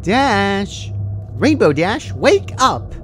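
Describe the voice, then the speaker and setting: A man's voice: sits high, very animated, drawn-out words, a little hiss or hum, voice pushed up, full character voice. A man voicing a perky, sadistic female cartoon pony from a fan story, casual and chirpy while menacing. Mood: brisk, insistent.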